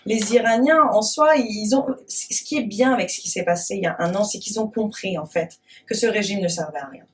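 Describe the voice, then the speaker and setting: A woman's voice: mid-range voice, recorded from a distance. A woman from France, a guest on a talk show, arguing with indignation and often restarting her sentences. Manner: impassioned, indignant, many restarts. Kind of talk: heated talk show discussion.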